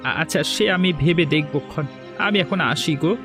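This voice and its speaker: A man's voice: fairly high pitch, brisk, close to an everyday voice. A man playing a worried, henpecked village father in an audio melodrama, plaintive and resigned. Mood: troubled. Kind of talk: melodramatic Bengali audio story acting. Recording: music under the voice.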